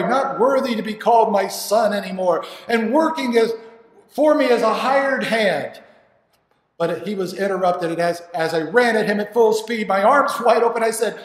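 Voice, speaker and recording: A man acting a devoted, grieving father in a dramatic monologue, telling of his lost son haltingly and earnestly, with dramatic pauses. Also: high-pitched, close to an everyday voice. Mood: excited, urgent. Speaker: actor performing an audition monologue. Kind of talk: dramatic first-person monologue. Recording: echoey hall with long reverb.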